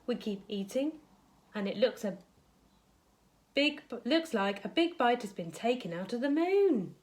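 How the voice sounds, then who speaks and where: A woman voicing a friendly, greedy cheese monster from a children's storybook, explaining things slowly and patiently. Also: a little high, sing-song.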